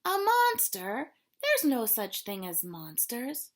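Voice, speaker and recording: A woman's voice: fairly high pitch, huge pitch swings, slow pace, exaggerated voice. A woman voicing a cocky, skeptical rabbit in a children's storybook. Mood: amused, skeptical. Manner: chuckling disbelief, yelling when scared. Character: cocky skeptical rabbit, amused then alarmed.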